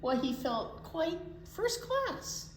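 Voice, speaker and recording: A woman reading a picture book aloud to young children as a storytime teacher, expressively, with deliberate pauses and little asides. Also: very high-pitched, big pitch swings. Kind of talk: animated picture book read-aloud for preschoolers. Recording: roomy echo, faint background noise.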